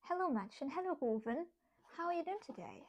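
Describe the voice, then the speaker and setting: Brief: young woman, German accent, slightly high voice, loose chatty livestream talk, reads chat while she works, giggles a lot. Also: sing-song, fairly quick, a little hiss or hum. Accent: German, with some British English. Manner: chatty, laughs often, talks to herself while working. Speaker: streamer. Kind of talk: casual craft livestream chat, reading chat aloud.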